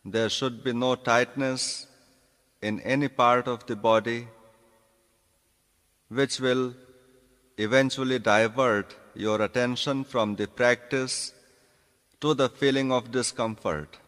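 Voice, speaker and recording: Male meditation guide, mid-pitched, deliberate short phrases at a very slow pace, spacious reverberant sound. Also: steady tone, fairly bright tone. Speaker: yoga nidra instructor. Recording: long reverberant hall echo.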